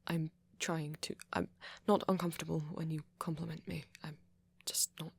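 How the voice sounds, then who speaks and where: A man playing a shy, nervous young vampire in an ASMR roleplay, stammering softly and apologetically with a British accent. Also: fairly high pitch, little pitch movement, dry recording. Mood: shy, flustered. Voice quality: soft, breathy, often near whisper. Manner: stammering, halting, flustered and apologetic. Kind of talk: ASMR boyfriend roleplay, intimate acting.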